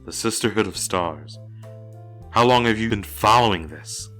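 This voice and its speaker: An actor playing an inquisitive, measured male superhero colleague in an audio drama. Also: lowish voice, a bit bright, soft background music, close to an everyday voice. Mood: curious, intrigued.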